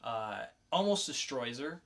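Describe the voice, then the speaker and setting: A man with a bright, forward voice and a mid-range pitch. He talks as a podcast co-host, conversational and casual, putting emphasis on announcements as he reviews comics. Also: animated, unhurried.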